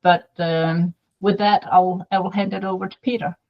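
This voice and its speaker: A woman with a mid-range voice hosts an online meeting. She speaks informally and haltingly, feeling her way through her phrases. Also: medium pitch, fairly even intonation, lo-fi sound. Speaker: host introducing the speaker. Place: video call. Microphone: video call microphone.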